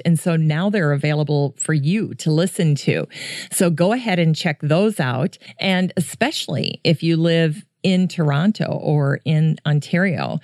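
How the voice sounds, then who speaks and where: Low-voiced woman podcast host. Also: darkish tone, no room sound, clean studio sound. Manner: chatty, friendly listener address. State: voice deeper after a coughing fit. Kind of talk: casual solo podcast talk.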